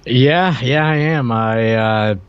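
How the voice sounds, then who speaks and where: A man's voice: medium pitch, takes it slowly, clear, resonant tone, no room sound, dull audio. A forty-year-old man co-hosting a podcast in casual chat, hesitant and drily teasing. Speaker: podcast co-host.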